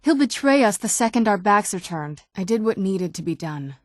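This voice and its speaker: A woman's voice: mid-range voice, expressive, normal speed, dry recording, studio quality, natural voice. A woman playing a young, guarded vampire noblewoman in a video game, with a wry, earnest delivery. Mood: guarded, firm. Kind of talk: video game character dialogue.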